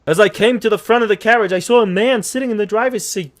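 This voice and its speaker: A man plays a hapless cartoon horse telling his sad tale in a high voice. He is earnest and nervous, stammering and melodramatic as he reads from an audio comic. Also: fast, natural voice. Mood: alarmed, rueful. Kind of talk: scripted audio comic narration.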